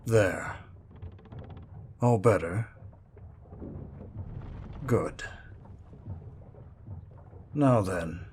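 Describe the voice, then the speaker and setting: Casting: a man as a menacing, genteel pirate captain, deep and unhurried, mock-courteous. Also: darkish tone, a little hiss or hum. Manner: coolly polite, mock-courteous. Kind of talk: second-person roleplay audio drama.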